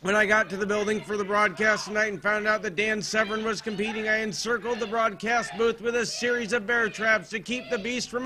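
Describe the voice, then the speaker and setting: American male, high voice. Sardonic, deadpan wrestling commentary over clear background noise. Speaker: wrestling play-by-play announcer. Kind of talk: comedic sports commentary.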